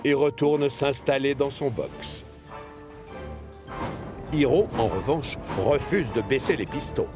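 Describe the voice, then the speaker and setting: A man's voice: medium pitch, slow, long pauses. Male narrator for a kids cartoon, mid voice, bouncy, music underneath. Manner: lively, expressive storytelling. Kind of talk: scripted storybook narration.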